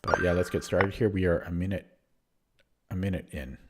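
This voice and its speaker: A man with a low, deep voice sounds hoarse and keeps clearing his throat. He streams chess live and mutters his way through moves. His talk is casual and unhurried. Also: very noisy. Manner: thinks aloud while playing, muttering over moves.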